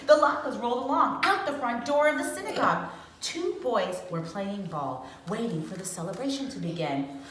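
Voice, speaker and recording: A woman reading a children's storybook aloud with dramatic, expressive phrasing, as a storyteller. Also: a little high, moderate pace. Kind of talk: animated storybook read-aloud. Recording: echoey room with faint noise.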